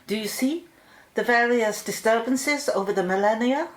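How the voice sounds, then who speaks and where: A woman acting a brisk, focused research scientist giving instructions in a radio play. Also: fairly high pitch, roomy sound, close to an everyday voice, low-quality recording.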